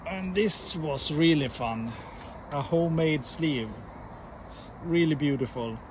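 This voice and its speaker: A man casually presenting to viewers as a record collector host, in a halting, unhurried way. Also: a little high, noisy background. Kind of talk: casual showcase vlog talk.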